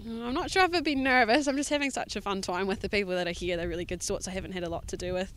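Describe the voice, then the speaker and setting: A woman's voice: medium pitch, rapid-fire, fairly bright tone. A young woman in her twenties with a New Zealand accent, a junior harness driver giving upbeat, modest interview answers with plenty of ums. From Southland, New Zealand.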